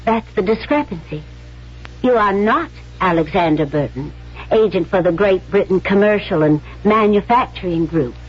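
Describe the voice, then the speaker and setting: A self-assured young stage actress in an old radio play. Her voice is slightly husky and swings expressively, with a genteel, theatrical delivery. The broadcast sound is dull and vintage. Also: medium pitch, animated, normal speed. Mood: coolly shrewd. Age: young adult. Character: poised, cunning young actress with romantic Southern sympathies. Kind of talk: 1970s radio drama acting. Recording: band-limited vintage radio broadcast with music.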